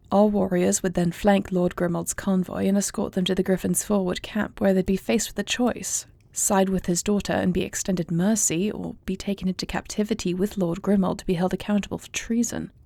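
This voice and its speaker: A woman playing a thoughtful, devoted warrior princess in an intimate fantasy audio roleplay, speaking in formal, measured, eloquent phrases. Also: medium pitch, vocal fry, warm tone, no echo.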